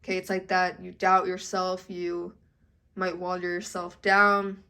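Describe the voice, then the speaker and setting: A woman's voice: fairly low pitch, little pitch movement, a little slow, pushed and bright, clear, resonant tone. A young adult woman giving a casual tarot reading to camera, chatty and full of "okay?" check-ins, her voice a little congested from allergies. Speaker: tarot reader.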